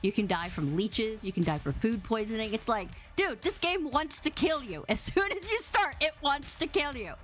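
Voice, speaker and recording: A bubbly woman hosts a casual streamed podcast. Her voice is big and boomy, and her pitch swings all over the place. Faint music plays underneath. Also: lively intonation, normal speed, hardly any pauses, fairly bright tone. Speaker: podcast host. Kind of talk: casual live-stream chat. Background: faint background music.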